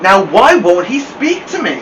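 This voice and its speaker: A man's voice: high-pitched, sing-song, measured pace, recorded from a distance, background noise, voice pushed up. A man voicing a big, worried, suspicious python from a storybook.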